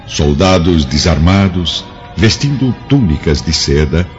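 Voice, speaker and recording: Male narrator, Brazilian accent: very low, highly modulated, solemn storytelling for a radio drama over prominent music. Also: expressive, measured pace. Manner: solemn, theatrical. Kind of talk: dramatic scripted narration of a historical radio serial. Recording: dull lo-fi recording with music underneath.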